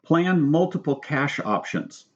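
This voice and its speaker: A man reading his own book aloud as scripted narration, in an instructional, measured manner. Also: on the high side, very animated, dull audio. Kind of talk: scripted audiobook narration with spoken asides.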